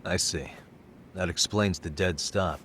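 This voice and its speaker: Cool investigator, low pitch, matter-of-fact, terse, video game cutscene. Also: male voice, a little slow, a bit bright, a little hiss or hum. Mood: businesslike. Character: cool, businesslike male investigator on the hunt.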